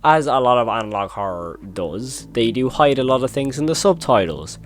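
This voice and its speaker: A man's voice: medium pitch, lively intonation, moderate pace. A man hosting a horror video commentary, retelling and reacting in an informal, emphatic way.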